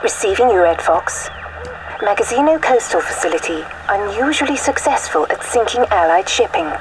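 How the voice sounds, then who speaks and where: An actress playing a formal military radio operator in a war game, clipped, through a radio effect. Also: female voice, medium pitch, expressive, normal speed. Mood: brisk, formal. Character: crisp, official female military radio operator. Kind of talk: cinematic game voice acting. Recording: noisy military radio transmission.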